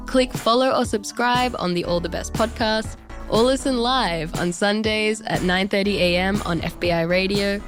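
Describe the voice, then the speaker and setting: An Australian woman reading a scripted programme outro as a radio show host. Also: medium pitch. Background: music.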